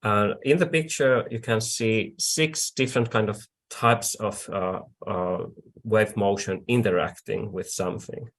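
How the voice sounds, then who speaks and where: A man with a Finnish accent explaining things deliberately and haltingly, like an online lecturer. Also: on the low side. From Finland. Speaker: accordion artisan, presenter.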